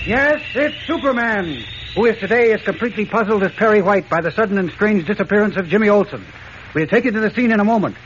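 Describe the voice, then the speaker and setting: A man's voice: sits high, lively intonation, quick pace, a bit bright. A man with an American accent giving dramatic scripted narration in the style of a 1940s radio serial. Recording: muffled, noisy old radio recording.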